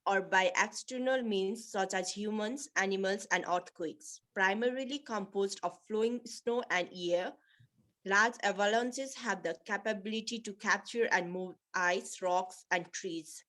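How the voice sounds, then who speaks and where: A female student in an exam preparation lesson speaks at a middle pitch. She reads aloud and repeats words as practice. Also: a little slow, fairly bright tone. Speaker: student in test preparation class.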